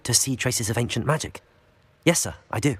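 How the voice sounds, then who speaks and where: A man's voice: mid-range voice, animated, fairly quick, a bit bright, husky, no room sound. A man playing a young wizard student in a video game, polite, keen and composed, with an understated delivery. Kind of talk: video game character acting.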